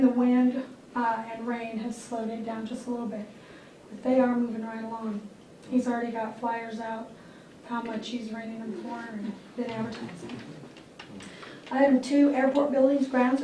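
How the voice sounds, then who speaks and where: A woman with a self-described squeaky voice giving a matter-of-fact airport manager's report at a public board meeting, clearing her throat now and then. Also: dark tone. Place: meeting room. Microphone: distant room mic. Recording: echoey room from far off, faint noise.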